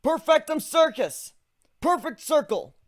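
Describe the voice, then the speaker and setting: A man voicing a young male griffin mage who lectures excitedly and chants spell words slowly. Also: very high-pitched, pitched above the performer's own voice. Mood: eager explaining. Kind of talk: dramatic fan reading.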